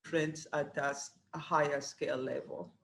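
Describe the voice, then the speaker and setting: A middle-aged woman speaks in an earnest, measured way, often pausing with small fillers. She sounds like a guest answering questions about her career. Her voice carries a roomy echo. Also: low-pitched, fairly even intonation, creaky. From Rwanda. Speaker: dietetics program director, guest. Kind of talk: interview answers. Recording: echoey room.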